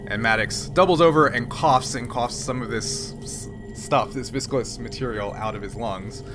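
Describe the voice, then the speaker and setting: A man's voice: medium pitch, moderate pace. A man running a tabletop game as game master, describing scenes in a loose, rambling way. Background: background music.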